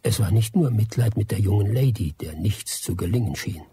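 A man's voice: lowish voice, expressive, moderate pace, slight background noise. A man acting in a radio drama as a wry, sardonic British expatriate in the colonial tropics, speaking without hurry. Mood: reflective. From Britain (the figure). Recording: loud music behind the voice.

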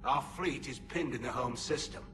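An actor voicing a male alien admiral who speaks in an urgent, forceful way. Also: medium pitch, normal speed, music in the background, lightly acted.

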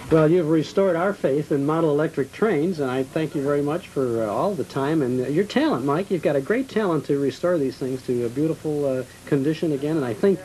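Higher-pitched male TV host. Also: a little fast, hardly any pauses, mellow, slight background noise, poor audio. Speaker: TV show host. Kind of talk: hosting interviews and reading shop promos.

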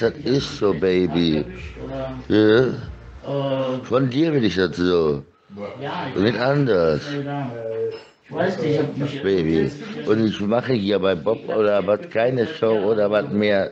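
A middle-aged man with an extremely low voice talks in an insistent, repetitive ramble. His monologue is sexually suggestive, and the recording is dull and lo-fi, as if from a phone held close. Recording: dull lo-fi clips.

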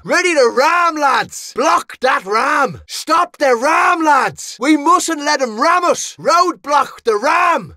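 Brash Irish sellsword for a medieval game: cocky, cheeky, swooping intonation, very dry recording. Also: male voice, very high voice, pushed and bright, dry recording, heavily acted. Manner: cheeky, colloquial, punchy delivery. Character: brash, mercenary male sellsword, cocky and mocking. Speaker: video game character voice. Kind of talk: video game battle voice lines.

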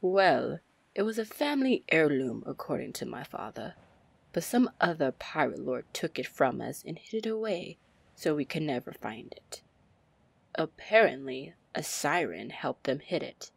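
A woman playing a confident, commanding, flirty female pirate captain in an ASMR fantasy roleplay, breathy and deliberate. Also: big pitch swings, dry recording, heavily acted. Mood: businesslike, resolute. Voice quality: breathy, low, husky. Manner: slow, deliberate, smooth-talking. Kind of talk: ASMR roleplay acting, fantasy audio drama.

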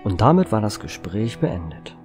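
A man's voice: lowish voice, big pitch swings, normal speed, music in the background. A man narrating a scripted story as its storyteller. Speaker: narrator and author.

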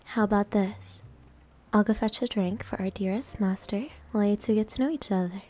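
A woman's voice: medium pitch, fairly quick, natural voice. A woman playing a confident, playful senior maid who teases and flirts, in an anime-style ASMR roleplay. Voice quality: breathy, soft. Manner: coy, teasing. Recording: music behind the voice.

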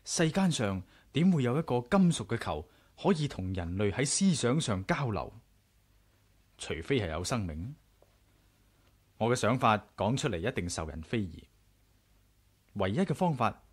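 An actor with a Hong Kong Cantonese accent playing a curious, self-assured adventurer in a radio drama, frank and measured. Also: male voice, medium pitch, big pitch swings, slightly rough. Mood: intrigued, reflective. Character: curious, bold male adventurer narrating his own tale. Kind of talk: radio drama acting and narration.

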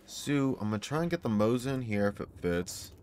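A man with a slightly hoarse, failing voice, casually rambling as he plays and talks to himself and his creatures. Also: lowish voice, expressive, brisk, a little mellow, slight background noise. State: losing his voice, sore throat. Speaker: gaming YouTuber. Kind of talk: casual gameplay commentary.

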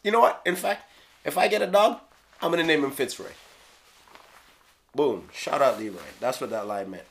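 Bright-voiced Jamaican man rapping about his lyrics. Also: mid-range voice, moderate pace, long pauses. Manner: playful, slangy, drops into hushed asides. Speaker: rapper explaining his lyrics. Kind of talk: casual solo video commentary. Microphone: studio mic on stand.

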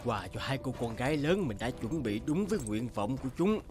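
A man voicing a gentle, worried middle-aged merchant father in a cartoon fairy tale, with a hesitant, sighing delivery. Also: medium pitch, very animated, fairly quick, natural voice. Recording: loud music bed behind voice.

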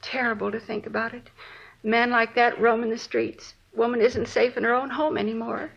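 A woman's voice: fairly high pitch, little pitch movement, moderate pace, creaky. A woman playing a shaken, indignant American housewife in her forties, a crime victim in a 1950s radio drama, talking in plain, clipped sentences. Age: 40s. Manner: plain-spoken, dropping sentence openings. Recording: dull vintage radio recording.

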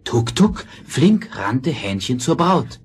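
Animated, measured male storyteller. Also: mid-range voice, husky. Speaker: storyteller, narrator. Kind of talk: scripted fairy-tale narration. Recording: old record with noise, clicks.

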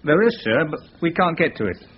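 An actor playing a polite, deferential British house agent in a radio thriller. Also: male voice, a little high, expressive, a little fast, close to an everyday voice. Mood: businesslike. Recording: muffled recording, faint noise.

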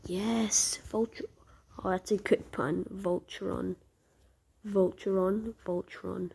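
A woman with a croaky voice, chatting in half-whispers while commenting on a mobile game she plays. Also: medium pitch, fairly even intonation, slow, darkish tone. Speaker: gameplay commentator.

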